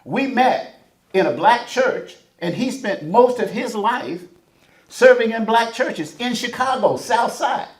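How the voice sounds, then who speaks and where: Animated man in an echoey room. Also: very high voice, takes it slowly. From Southern United States. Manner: animated, emphatic, preacher-like build-ups. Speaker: panelist. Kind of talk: panel discussion.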